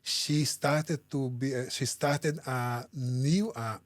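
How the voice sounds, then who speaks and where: A man from Brazil with a Brazilian Portuguese accent, a native Portuguese speaker and interview guest, speaking in halting English and searching for words with many restarts. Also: mid-range voice, dry recording. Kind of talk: interview answers.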